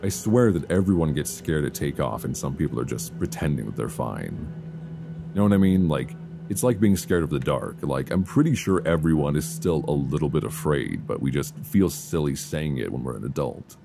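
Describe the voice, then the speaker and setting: A man playing an affectionate, reassuring boyfriend in an intimate, casual ASMR roleplay, speaking softly and soothingly close to a binaural mic. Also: extremely low pitch, animated, creaky, music in the background, heavily acted. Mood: gentle, reassuring. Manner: soft, intimate, unhurried, soothing. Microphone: close binaural microphone. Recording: faint background noise, binaural.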